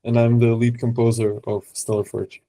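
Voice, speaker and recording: A young man in his twenties talks as an interview guest, hesitant and full of false starts. His voice is mellow and dark, with a flat, even delivery. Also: mid-range voice, moderate pace, rough recording. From Portugal. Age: 20s. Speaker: composer.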